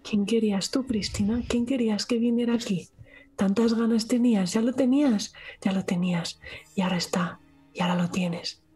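A woman role-playing in a horror tabletop game, speaking in an eerie, breathy way and repeating her phrases. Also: mid-range voice, normal speed, warm tone, soft background music. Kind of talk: in-character horror role-play.

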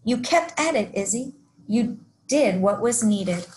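A woman's voice: fairly high pitch, expressive, measured pace, darkish tone, slight background noise. A woman voicing a kindly old grandfather in a storybook, encouraging and reassuring.

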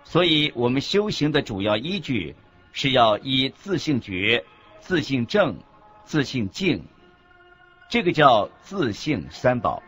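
A man's voice: mid-range voice, very animated, slow pace, fairly bright tone, husky. A man reading a scripted religious teaching as the narrator of a radio lecture. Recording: dull lo-fi audio with background music.

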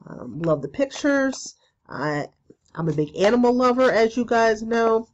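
A woman in her forties with a stuffy cold, hosting a casual spiritual podcast from a small room, chatty and full of ums and pauses. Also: on the high side, lo-fi sound. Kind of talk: casual solo podcast talk.

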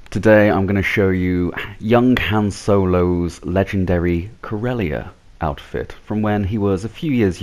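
A man's voice: deep voice, a little mellow. A man hosting a gaming video with casual, informal, jokey commentary. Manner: informal, jokey, mildly sweary. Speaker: gaming YouTuber, host. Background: game music.

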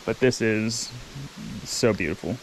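A man hosting a fishing vlog outdoors by a waterfall creek, chatty and excited, laughing often as he talks from a head-mounted camera. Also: on the low side, measured pace, noisy background. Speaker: fishing channel host. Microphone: head-mounted camera mic.

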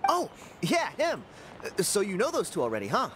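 Upbeat, expressive young adventurer. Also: male voice, sits high, normal speed, very noisy. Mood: casual, explaining. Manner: easygoing, earnest, expressive. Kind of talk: video game dialogue acting. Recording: loud game music behind. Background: loud background music.

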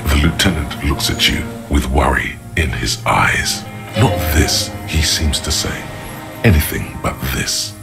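An extremely deep male narrator speaking as a detective's inner thoughts in a video game. He is ominous, deliberate and urging, with music clearly underneath. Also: very animated, full character voice. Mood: ominous, brooding. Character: brooding inner narrator voice of a troubled detective's mind. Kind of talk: scripted second-person game narration.

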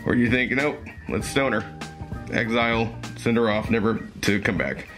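A young man with a voice going hoarse, speaking earnestly and haltingly with fillers and restarts, recorded close on a webcam in a small room at home. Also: fairly low pitch, over music. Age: young adult. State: losing his voice, hoarse. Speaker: commentary YouTuber. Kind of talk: reading aloud and commenting.

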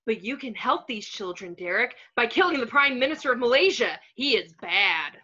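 Sinister flamboyant fashion mogul read by a woman, high and theatrical, comedy table read over lo-fi video call. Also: lively intonation, normal speed, sharp tone. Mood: sinister, commanding. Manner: theatrical, sing-song, commanding.

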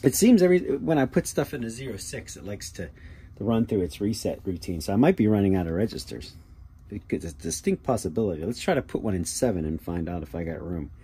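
A man giving a casual how-to demonstration as a hobbyist, talking haltingly and thinking aloud. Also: lowish voice, animated, dark tone, husky. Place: small cluttered workshop indoors. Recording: noticeable background noise with clicking keys.

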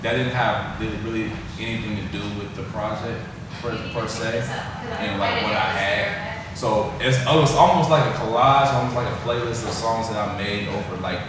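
A man's voice: fairly low pitch, moderate pace, background noise. A man in his twenties with an American accent, chatting casually and informally as an interview guest. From Columbus, Ohio, United States. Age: 20s. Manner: informal, frequent "you know what I'm saying". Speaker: interview guest, musician and producer. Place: small indoor room by a large window at a festival. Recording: echoey room, distant mic, loud music behind.

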